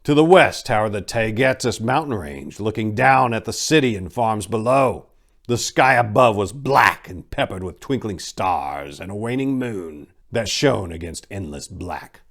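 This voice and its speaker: A man with a fairly low voice reads a scripted tale as a dramatic narrator. His delivery is theatrical and deliberate, swelling with emphasis on key phrases. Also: expressive, a bit bright. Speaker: podcast host and narrator.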